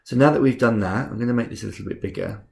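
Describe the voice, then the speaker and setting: A young man with a cold, sounding husky and rough and a bit bunged up, calmly talking through a game-making tutorial step by step with frequent ums. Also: medium pitch, very fast. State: congested from a cold. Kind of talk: screen-recorded software tutorial.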